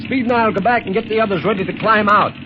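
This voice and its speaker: An actor playing a cautious, commanding secret police leader in a 1930s radio adventure serial. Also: male voice, high-pitched, fast talker, close to an everyday voice. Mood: wary. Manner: commanding, clipped, protective. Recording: muffled, noisy 1930s radio recording.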